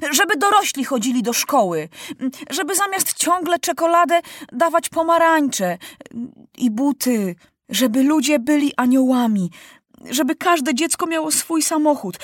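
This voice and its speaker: A woman voicing a crowd of unruly children calling out excited demands one after another. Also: high voice, dry recording, clean studio sound, pitched above the performer's own voice.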